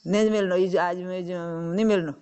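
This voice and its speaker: A woman's voice: on the low side, fairly even intonation, fairly quick, warm tone, smooth. A woman with a Rajasthani accent talking casually and bluntly on a phone call, often repeating herself. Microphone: telephone. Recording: dull lo-fi phone call recording.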